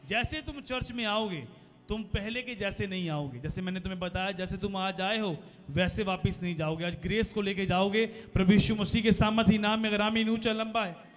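A man in his thirties preaching a sermon to a congregation on a handheld mic, asking the crowd questions and growing louder toward the close. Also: high voice, fairly quick. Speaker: pastor. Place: large decorated church hall, indoors. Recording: long hall reverb with faint music.

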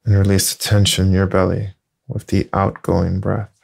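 A man leading a yoga nidra session, giving soft, calm, unhurried instructions with long pauses. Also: sits low, creaky, slightly rough. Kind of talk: slow guided meditation.

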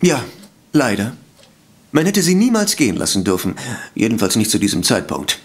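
A man's voice: lowish voice, wildly swooping pitch, normal speed. An actor playing a polite, defensive male sanatorium director in a detective radio play, speaking formally and hesitantly. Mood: indignant, regretful. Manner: formal, hesitant, self-justifying. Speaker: voice actor in audio drama.

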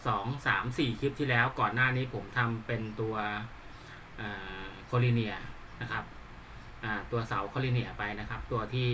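A man giving an unscripted hands-on tutorial, explaining politely in a halting, repetitive way. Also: medium pitch, fairly even intonation, noisy background. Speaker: hobbyist presenter demonstrating a DIY build.

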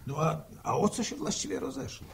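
A man's voice: on the high side, very animated, brisk, a little hiss or hum. An actor playing a mild, curious village man who speaks in a hesitant, questioning way in an old radio drama. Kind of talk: 1960 radio drama acting.

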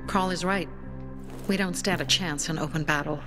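An actress playing a determined young rebel leader in a video game, firm and commanding. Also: female voice, sits low, steady tone, moderate pace, close to an everyday voice. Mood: resolute, commanding. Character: determined young female rebel leader giving battle orders. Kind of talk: video game drama acting. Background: music.

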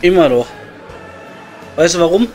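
A man's voice: on the high side, lively intonation, moderate pace, a little mellow, close to an everyday voice. A man playing a crude, jovial coal miner with a Ruhr German accent in an improvised comedy audio play. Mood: joking, blunt. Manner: blunt, joking, slangy. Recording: music behind the voice.

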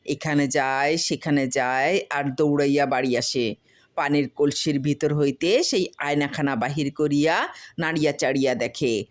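A woman reading a humorous folk story as scripted narration, in an expressive, literary storytelling manner. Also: low voice, normal speed.

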